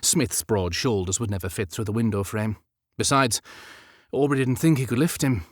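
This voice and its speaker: A man's voice: lowish voice, normal speed, no echo, clean studio sound. A man narrating a period romance audiobook as scripted fiction.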